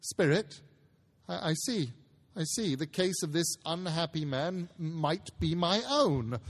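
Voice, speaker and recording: A man playing a frightened, repentant miser in a dramatic story reading, pleading and halting. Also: on the high side, animated, pitched above the performer's own voice, exaggerated voice. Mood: horrified. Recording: reverberant hall, live stage reading.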